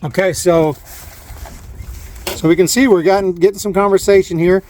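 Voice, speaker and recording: A man giving a casual hands-on demonstration, talking in a halting way as he thinks aloud, recorded on a phone inside a van. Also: high voice, steady tone. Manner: halting, thinking aloud while handling equipment. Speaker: vlogger, amateur DIY installer. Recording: clear background noise, radio static and clicks. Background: CB radio static and knob clicks.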